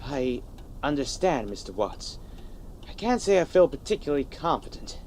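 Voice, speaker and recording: An earnest, nosy young sidekick played by a man in a sci-fi comedy audio drama. His pitch rises and falls freely as he speaks with nervy expressiveness, over slight background noise. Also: big pitch swings, moderate pace, a little mellow, lightly acted. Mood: uneasy, defiant. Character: earnest, nosy, nervous young male monster-hunting sidekick.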